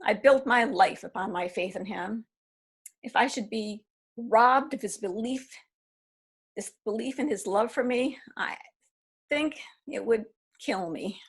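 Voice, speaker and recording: A woman's voice: fairly high pitch, measured pace, breathy edge. A woman reading an aging woman who still pines for a lost love, in an earnest, wistful manner. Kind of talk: readers theatre reading.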